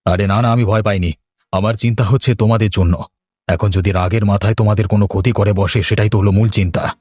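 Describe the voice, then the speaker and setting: A man's voice: fairly low pitch, fairly even intonation, quick pace, a little mellow, breathy edge, no room sound, studio quality. A man playing a scheming, threatening village villain in a horror cartoon, with theatrical delivery. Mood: alarmed. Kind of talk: Bengali horror cartoon dialogue.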